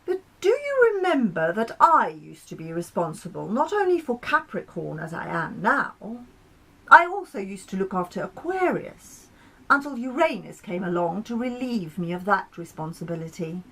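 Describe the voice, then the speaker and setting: A stern, authoritative male planet from a storybook, voiced by a woman, lecturing the others with measured patience. The delivery is insistent and reasoned, with a husky edge. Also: medium pitch, very animated, a bit bright, full character voice, low-quality recording. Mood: firm, reasoning.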